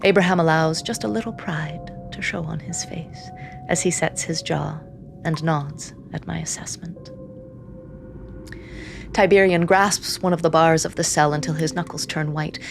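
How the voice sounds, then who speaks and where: An actress playing a grim, haunted seer who reads the dead, narrating in the first person with hushed, deliberate intensity. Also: female voice, low-pitched, fairly even intonation, vocal fry, music in the background. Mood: tense, controlled. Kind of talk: first-person dramatic narration in audio drama.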